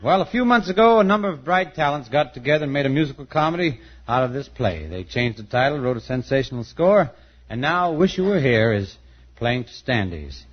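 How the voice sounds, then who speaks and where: A man's voice: medium pitch, lively intonation, fairly quick. A man hosting a 1950s radio comedy show as interviewer and straight man. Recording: very noisy, muffled old radio recording.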